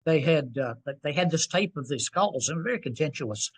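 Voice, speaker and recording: A man in his sixties with a Southern US accent, a podcast guest telling stories in an animated, self-deprecating way. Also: a little high, normal speed, husky. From North Carolina. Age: 60s. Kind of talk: storytelling interview.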